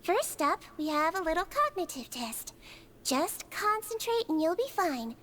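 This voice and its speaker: A woman voicing a tiny, caring female creature who works as a head nurse, speaking in a soft, patient, reassuring way. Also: extremely high pitch, very animated, a little slow, a little hiss or hum, exaggerated voice. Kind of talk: video game voice acting. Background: game music.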